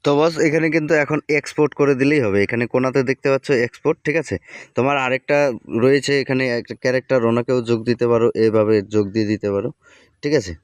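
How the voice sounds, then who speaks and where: A man's voice: mid-range voice, steady tone, racing, smooth, no room sound. A man hosting a casual, step-by-step app tutorial, often checking in as he instructs. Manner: repeatedly checks in while instructing. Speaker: tutorial host.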